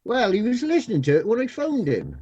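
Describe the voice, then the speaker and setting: A man's voice: high voice, huge pitch swings, brisk, mellow, slightly rough, dry recording, dull audio, heavily acted. A man playing a jokey, know-it-all pub regular with a lisp in a radio comedy. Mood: pedantic, amiable.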